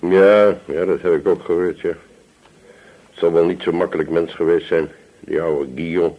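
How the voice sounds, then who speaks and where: A man's voice: sits low, steady tone, a little slow, dark tone, low-quality recording. A man acting an obliging, gossipy village police sergeant in a radio drama, chatting deferentially with small hesitations. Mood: obliging, chatty.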